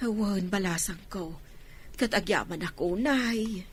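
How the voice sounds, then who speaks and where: A woman playing a grateful, gentle elderly village grandmother in an Ilocano radio drama. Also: medium pitch, measured pace, husky. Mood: grateful, humble.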